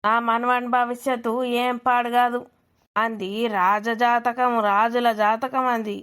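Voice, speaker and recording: A woman's voice: a little high, level tone, unhurried, a bit bright, clear, resonant tone. A woman voicing a doting, stubborn old village grandmother in a cartoon comedy, boastful and defensive, with a Telangana accent.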